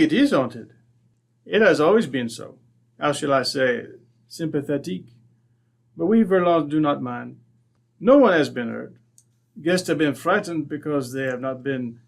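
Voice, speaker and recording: A man playing a proud, courteous French viscount with a French accent and halting, formal English in a radio drama. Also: fairly high pitch, creaky, heavily acted. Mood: guarded. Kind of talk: old-time radio drama acting.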